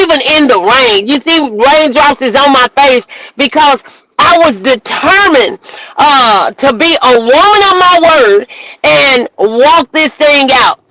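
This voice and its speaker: A woman minister hosting a livestream, giving an emphatic, preacherly motivational talk that at times rises to shouts. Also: high-pitched, no room sound, rough recording. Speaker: minister and host. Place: outdoors walking in the rain.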